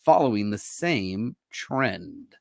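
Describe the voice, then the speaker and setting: A man's voice: on the low side, big pitch swings, slow pace, gravelly, dry recording, studio quality. A man co-hosting a comic book podcast, reading sales notes in an easygoing, slightly unsure way. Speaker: podcast co-host.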